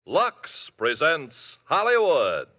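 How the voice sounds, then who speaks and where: A man announces an old radio theatre show in a booming, deliberate voice, pitched high and swinging widely. Loud music plays beneath him. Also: huge pitch swings.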